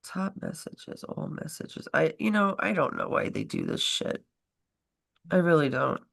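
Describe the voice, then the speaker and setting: A woman's voice: deep voice, huge pitch swings, unhurried, creaky. A stuffed-up woman co-hosting a casual political chat livestream, rambling and breaking off mid-sentence, often laughing. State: stuffed up from a cold. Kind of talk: casual live-stream chat.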